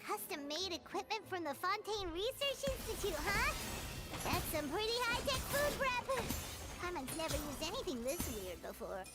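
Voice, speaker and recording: A woman voicing a small, chirpy, childlike companion creature in a video game, with a squeaky, expressive delivery. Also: moderate pace. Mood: curious. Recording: loud game music behind the voice.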